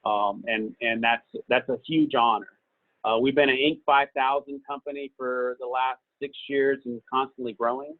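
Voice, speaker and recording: A man speaks casually on a video call and loosens his answers with plenty of ums and uhs. His voice sits at an ordinary pitch. The sound is thin and phone-like. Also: normal speed, no room sound. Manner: relaxed, rambling, many fillers. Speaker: business owner and guest speaker. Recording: tinny phone-like call audio.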